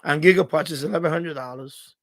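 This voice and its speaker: A middle-aged man hosting a casual live stream, rambling and thinking aloud in a halting way. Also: on the high side.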